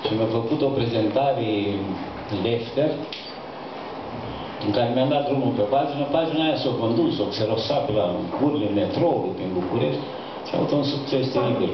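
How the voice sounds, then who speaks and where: A man, a poet, telling stories casually at a cafe panel, hesitant, with fillers. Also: medium pitch. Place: cafe room with live audience. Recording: echoey, distant, dull, noisy room.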